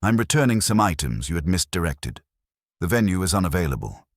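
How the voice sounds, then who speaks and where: A man plays a cool, wisecracking assistant to a detective in a mystery audiobook. The voice is deep and lively, brisk and flippant with dry timing. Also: dry recording.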